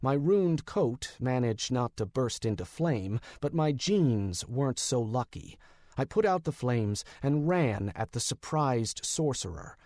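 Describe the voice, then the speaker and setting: Male narrator, mid-range voice, measured dramatic first-person storytelling, close and dry studio recording. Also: mid-range voice, lively intonation, a little mellow, no echo. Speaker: audiobook narrator.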